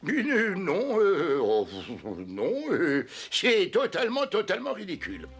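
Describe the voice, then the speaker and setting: A husky old cartoon courtier. He stammers, repeats himself and blusters evasively, his pitch leaping about wildly. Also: huge pitch swings, measured pace, a bit bright, soft background music, heavily acted. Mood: flustered denial. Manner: stammering, repetitive, grandiose bluster. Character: blustering elderly male elephant royal adviser, nervous and evasive. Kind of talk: French cartoon dubbing.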